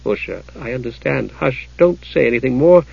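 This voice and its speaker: A man voicing a gentle, earnest young male mage in a fantasy audiobook, restrained and a little uneasy. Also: mid-range voice, lively intonation, normal speed, gravelly, husky, dry recording, muffled recording, exaggerated voice. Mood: apologetic.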